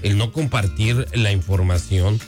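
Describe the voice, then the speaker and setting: A man's voice: on the low side, a little slow, darkish tone. A man with a Mexican accent hosting a conversational radio interview in a studio. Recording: music clearly audible behind.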